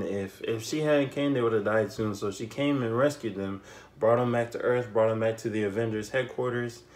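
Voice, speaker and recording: Young man talking casually, repeating himself. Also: mid-range voice. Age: young adult. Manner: animated storytelling with repeated phrases and slangy asides. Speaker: YouTube film reviewer. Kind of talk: casual, unscripted movie recap. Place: small bedroom, indoors.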